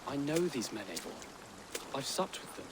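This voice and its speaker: A man's voice: medium pitch, sing-song, moderate pace, background noise. An actor playing an earnest, conflicted young nobleman in a video game, pleading and sincere. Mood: troubled pleading. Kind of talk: dramatic video game acting.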